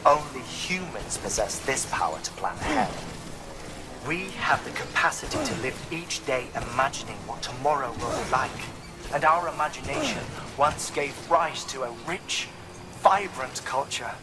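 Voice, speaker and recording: A male actor as a thoughtful game character with a mid-pitched voice. He lectures philosophically and articulately, reflecting on humanity in a scripted monologue over loud music. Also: animated, normal speed, close to an everyday voice. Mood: reflective.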